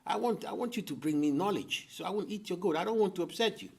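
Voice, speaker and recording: Man playing folktale leopard, male, cunning, hungry, wheedling, repetitive pleading, highish pitch. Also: quick pace, gravelly, slightly rough, heavily acted. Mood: protesting, cajoling. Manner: pleading, repeats words excitedly. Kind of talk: oral folktale storytelling.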